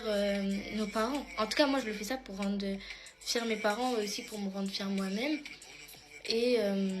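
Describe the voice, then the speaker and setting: A teenage girl talks casually to her followers while doing her makeup, her voice a touch high and full of fillers and restarts. Clear background music plays under her the whole time. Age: teens. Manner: chatty, many fillers, addresses followers as "mes stars". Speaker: beauty pageant contestant vlogger. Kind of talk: get-ready-with-me vlog, answering follower questions.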